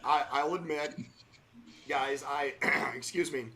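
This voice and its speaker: Middle-aged man, slightly hoarse, fairly high voice, casual live-stream host, railroad talk. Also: takes it slowly, sharp tone, a little hiss or hum. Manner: knowledgeable, chatty, railroad jargon. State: slightly hoarse, under the weather.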